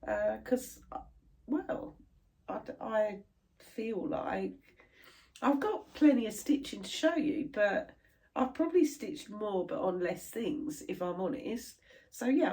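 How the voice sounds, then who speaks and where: A middle-aged British woman chatting casually to camera about her crafts, sounding stuffy and a little croaky from a chest infection. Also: lowish voice, dark tone. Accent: British English. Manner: chatty, rambling, laughs easily. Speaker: cross stitch vlogger.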